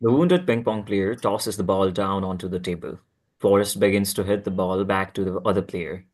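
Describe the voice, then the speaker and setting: Low-voiced man reading stage directions. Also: moderate pace, darkish tone. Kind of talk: script read aloud at table read.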